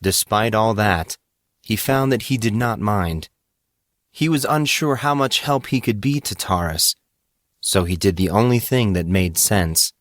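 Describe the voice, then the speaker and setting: A man reading dramatic fiction as a narrator, in a measured and earnest manner. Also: fairly low pitch, expressive, a bit bright, no room sound, clean studio sound. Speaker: audiobook narrator.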